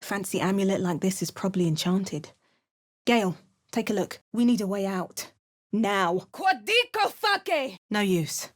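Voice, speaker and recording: A determined adventurer from a fantasy role-playing game. She is wry and measured, with a theatrical edge. The sound is dry and close, with no room around it. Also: mid-range voice, big pitch swings, no room sound. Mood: commanding incantation. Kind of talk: video game voice lines, dramatic narration and incantations.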